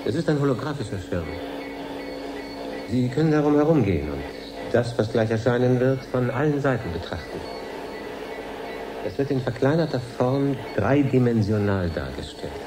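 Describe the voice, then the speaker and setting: An actor playing a matter-of-fact professor in a radio drama, explaining precisely and with self-assurance. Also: male voice, mid-range voice, expressive, moderate pace, pauses often, close to an everyday voice. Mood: confident, explanatory. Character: matter-of-fact male professor running an experiment. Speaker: scientist. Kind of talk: 1980s German radio drama.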